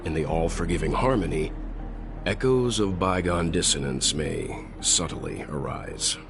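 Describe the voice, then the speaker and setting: A grave, deep-voiced narrator. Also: male voice, big pitch swings, exaggerated voice. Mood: solemn. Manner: slow, solemn, deliberate. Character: grave, weary male narrator of cosmic history. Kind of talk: video game voice acting. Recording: game cutscene with loud score. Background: orchestral music.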